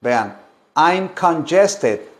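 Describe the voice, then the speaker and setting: A man teaching English, switching between languages and repeating each phrase slowly for learners. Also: fairly high pitch, very animated. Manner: slow, deliberate drilling with many repetitions. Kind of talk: bilingual language lesson.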